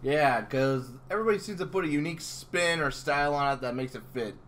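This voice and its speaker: Male interviewer, bright and very animated, casual and excitable fan talk. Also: a little high, quick pace. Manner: excitable, exclamatory, swearing emphatically.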